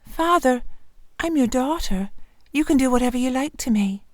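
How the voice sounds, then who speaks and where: A gentle young maiden from a fairy tale voiced by a woman. She sounds meek, sincere and resigned, in a voice lifted above narration. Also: high voice, animated, normal speed, no echo, pitched above the performer's own voice.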